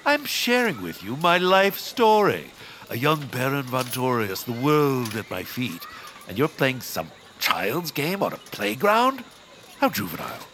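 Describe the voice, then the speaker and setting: Greedy land baron: pompous, gloating, hugely animated delivery, comic audio drama, faint ambience. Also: male voice, medium pitch, measured pace, a little hiss or hum. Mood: pompous nostalgia.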